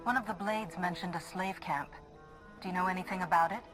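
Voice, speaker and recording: A woman playing a resolute, noble Jedi hero in a video game, calm and decisive. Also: on the low side, brisk, close to an everyday voice, low-quality recording. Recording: music behind.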